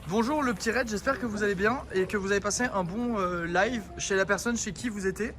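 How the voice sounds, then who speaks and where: Man, young adult, casual stream chat, joking, slightly breathless, bright tone, faint noise behind. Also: high-pitched, fairly quick. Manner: joking, teasing, talks to chat. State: a bit out of breath. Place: outdoors in a town street.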